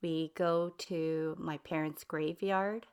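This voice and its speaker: A middle-aged woman with a slightly low voice explains her family customs in an earnest, measured way. She pauses often on "um" and "uh" while speaking in an interview. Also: fairly even intonation, darkish tone, clear, resonant tone. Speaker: interviewee, mother. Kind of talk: casual interview talk.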